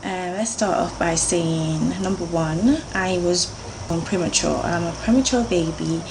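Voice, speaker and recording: A woman's voice: on the low side, a little slow, background noise. A woman, chatting to camera in a rambling, earnest way with many fillers. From Jamaica. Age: 30s. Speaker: vlogger. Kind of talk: casual chat to camera.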